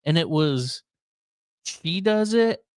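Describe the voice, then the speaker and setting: A man's voice: a little high, lively intonation, takes it slowly, a little mellow, no echo. A man hosting a podcast in casual, unscripted chat, with a wry, rambling, somewhat hesitant way of talking. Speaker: podcast host.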